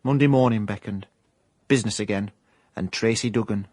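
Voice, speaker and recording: An actor with a South Yorkshire accent playing a hapless ex-miner turned private investigator in a radio comedy-drama, deadpan and mock hard-boiled. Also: male voice, on the low side, a little fast, very noisy, natural voice. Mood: wry narration. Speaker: narrator and lead actor. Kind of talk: radio comedy-drama with first-person narration.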